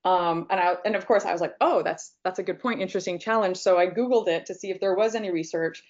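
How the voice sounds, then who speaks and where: A woman's voice: fairly low pitch, fast talker. A woman talking in an online group discussion, casual and conversational, with lots of "like" and "um". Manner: conversational, many fillers like "like" and "um". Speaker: panel participant. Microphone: video call. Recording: muffled video call audio.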